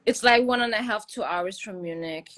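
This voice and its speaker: A woman's voice: mid-range voice, normal speed, fairly bright tone. A woman from Turkey calling in to a live stream, chatting eagerly and laughing easily. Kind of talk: casual chat.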